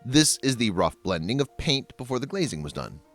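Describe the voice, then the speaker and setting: A man's voice: medium pitch, sing-song, fairly quick, a bit bright. A man reading scripted voice-over narration in the manner of a how-to video. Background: background music.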